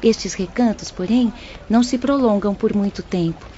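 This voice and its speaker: A woman narrates an audiobook in a composed, explanatory way. Her medium-pitched voice is slightly muffled, with faint background noise. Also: normal speed, mellow.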